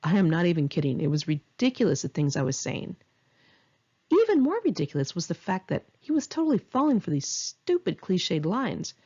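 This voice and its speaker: Woman, lowish husky voice, candid conversational memoir narration, slightly muffled lo-fi recording. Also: very animated, moderate pace, darkish tone. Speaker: author reading a memoir chapter. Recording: dull, lo-fi home recording.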